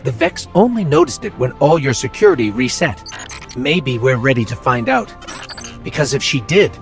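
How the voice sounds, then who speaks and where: Game companion role: earnest, reflective man's voice, expressive range, clear music underneath. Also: medium pitch, normal speed. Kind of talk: video game character dialogue.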